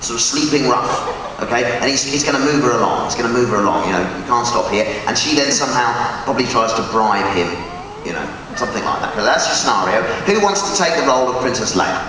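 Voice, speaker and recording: A man's voice: mid-range voice, lively intonation, quick pace, bright, forceful tone, a little hiss or hum. A man hosting a live stage interview, playful and self-mocking. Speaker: host. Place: large hall before a live audience. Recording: echoey hall, mic far off, crowd.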